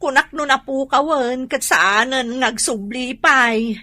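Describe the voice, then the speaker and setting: A woman's voice: sits high, slow, pushed and bright, full character voice. A woman with an Ilocano accent playing a sighing, generous aunt in a radio comedy drama. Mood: relieved. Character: weary, generous aunt home from working overseas.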